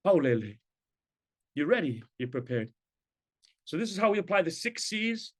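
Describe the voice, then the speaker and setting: A man's voice: fairly high pitch, big pitch swings, moderate pace. A middle-aged man presents a talk over a video call, speaking conversationally and checking in with tag questions. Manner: conversational, tag questions, sprinkles in Hawaiian words. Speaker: program presenter. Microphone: video call webcam.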